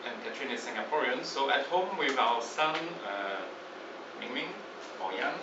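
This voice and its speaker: A man's voice: mid-range voice, animated, moderate pace. Parent testimonial: man, hesitant delivery, heard from a distance with a dull tone. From France. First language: French. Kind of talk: casual testimonial. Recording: distant echoey dull recording, ambient noise.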